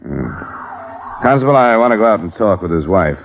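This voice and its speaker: Cool American radio gumshoe, very low voice, terse and probing, on a dull old recording. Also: male voice, big pitch swings, fairly quick, creaky, slight background noise. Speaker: lead actor and narrator. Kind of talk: old-time radio detective drama acting and narration. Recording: muffled vintage radio, music behind.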